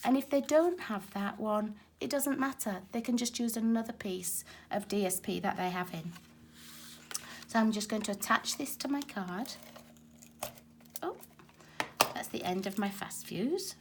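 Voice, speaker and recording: Hoarse woman demonstrating card making. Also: medium pitch, a little slow, long pauses, background noise. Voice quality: raspy. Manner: chatty and explanatory, talking while working with her hands. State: hoarse from a cold. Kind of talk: casual crafting tutorial. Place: craft table at home, filmed from overhead.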